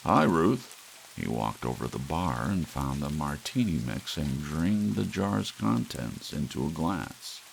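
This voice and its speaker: A man's voice: very deep voice, measured pace, gravelly, hardly any pauses, rough recording. A man reading a short story aloud as an ASMR audiobook narration, as an audiobook reader. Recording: steady hiss, rain sound underneath. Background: soft rain.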